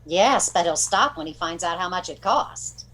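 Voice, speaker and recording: Woman doing wry maid from old time radio comedy, practical, polite but sardonic, really lively intonation. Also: lowish voice, moderate pace, rough recording. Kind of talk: 1940s radio comedy acting.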